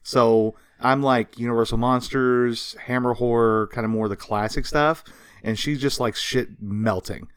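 A man's voice: medium pitch, fairly even intonation, unhurried, smooth, no room sound, clean studio sound. A man in his thirties co-hosting a casual podcast, talking like an enthusiastic fan. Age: 30s. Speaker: podcast co-host.

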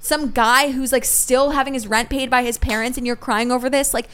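A young woman in her twenties chatting on a podcast, lively and blunt, with a fairly high voice. She swears freely and fills her talk with "like". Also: brisk, smooth. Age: 20s. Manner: animated, swears freely, heavy use of "like". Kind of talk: casual podcast chat.

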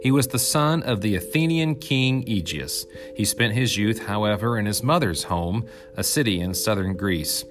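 A man's voice: on the low side, expressive, normal speed, hardly any pauses, music in the background. A man reading an ancient myth aloud as scripted storytelling narration.

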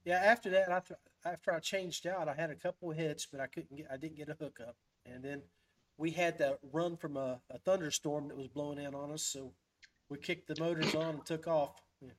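Higher-voiced man, casual podcast host. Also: steady tone, a little fast. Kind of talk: casual fishing podcast chat.

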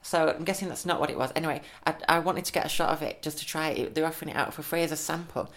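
A man's voice: a little high, steady tone, very fast, a bit bright. A young man chats casually as a podcast co-host. He is upbeat and laughs easily, with a slight lisp from new teeth. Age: 20s. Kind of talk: casual chat.

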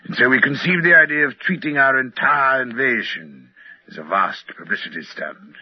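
Guy playing suave cold martian invader, condescending and gloating, husky voice, old muffled radio show. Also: mid-range voice, normal speed, dry recording, natural voice. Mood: gloating, grandiose. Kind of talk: mid-century American radio drama acting.

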